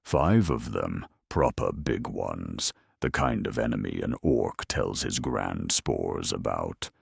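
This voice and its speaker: Really deep slow guy reading a dramatic story, deadpan and wry, husky. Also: no echo, clean studio sound. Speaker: narrator. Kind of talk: scripted dramatic story narration.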